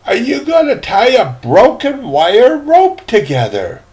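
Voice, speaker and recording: A man's voice: very high voice, huge pitch swings, slow pace, a little mellow, pitched above the performer's own voice, exaggerated voice. A man voicing a curious, bewildered young lad who asks naive questions in a storybook. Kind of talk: acted storybook dialogue.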